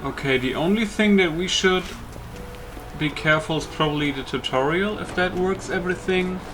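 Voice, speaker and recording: A man's voice: high-pitched, normal speed. A man giving casual, informal and hesitant let's play commentary on his own gameplay. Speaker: gamer commentating on own playthrough. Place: voice-over on gameplay. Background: loud game music.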